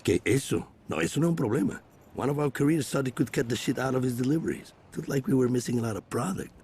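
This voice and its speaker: A man's voice: mid-range voice, animated, quick pace, darkish tone, a little hiss or hum, natural voice. A man acting a dismissive, testy drug cartel boss in a video game.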